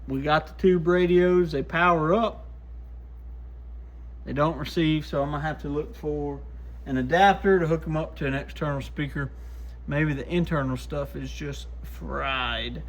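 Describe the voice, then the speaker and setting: A man casually thinking aloud in short, muttered remarks as he demonstrates and tests gear by hand. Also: on the high side. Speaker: radio shop host testing gear. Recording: clear background noise with clicks.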